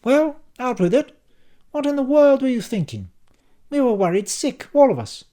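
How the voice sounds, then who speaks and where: A man doing a shrill, scolding mother. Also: very animated, normal speed, warm tone. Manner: high, dramatic, scolding.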